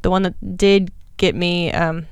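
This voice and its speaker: A woman's voice: fairly low pitch, flat delivery, clean tone, no room sound, muffled recording. A thirty-year-old woman co-hosting a podcast, talking candidly and emphatically in casual chat. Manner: candid, emphatic, quick asides. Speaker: podcast co-host.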